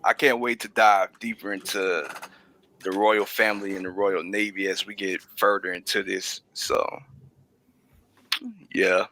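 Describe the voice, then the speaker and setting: A man's voice: low voice, level tone, measured pace, long pauses, pushed and bright, a little hiss or hum. A man being interviewed as an audio drama creator, chatting casually and peppering his talk with "man". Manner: laid-back phrasing, says "man" often.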